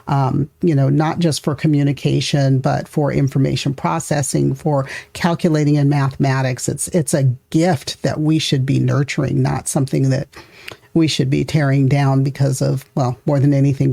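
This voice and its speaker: Gravelly-voiced woman podcast host. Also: rumbling low, dark tone. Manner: thoughtful, measured, laughs easily. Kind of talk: conversational interview.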